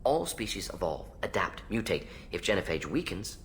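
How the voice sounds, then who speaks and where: An actor playing an eccentric alien scientist in a video game, analytical, speaking in clipped rapid-fire fragments. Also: male voice, low voice, big pitch swings, a little hiss or hum. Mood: clinical, brisk. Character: fast-talking alien salarian scientist, brisk, analytical, eccentric. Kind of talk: video game character acting.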